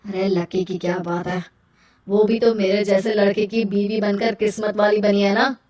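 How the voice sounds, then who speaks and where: A woman's voice: mid-range voice, level tone, moderate pace, darkish tone, lightly acted. Woman acting a stubborn young man, echoey. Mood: boastful. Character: self-centred, stubborn young man, a spoiled rich son. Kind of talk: animated moral story dialogue. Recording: roomy echo, distant from mic.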